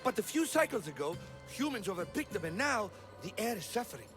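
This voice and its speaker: An actor playing an eager, fussy botanist in a video game, wordy and pedantic, easily flustered. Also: male voice, sits high, very animated, a little fast, over music. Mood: earnest, scholarly. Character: eager, fussy male botanist of a mythical city, absorbed in theory. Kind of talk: video game cutscene acting.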